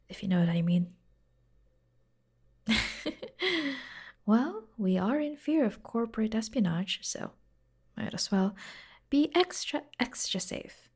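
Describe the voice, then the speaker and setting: A woman playing a caring, teasing secretary in an ASMR roleplay, speaking softly and gently, often dropping to a whisper. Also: mid-range voice, vocal fry, slightly rough, full character voice. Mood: helpful, soft. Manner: slow, gentle, playful, intimate. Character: caring, teasing, devoted young female secretary fussing over her boss. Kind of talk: soft-spoken ASMR roleplay.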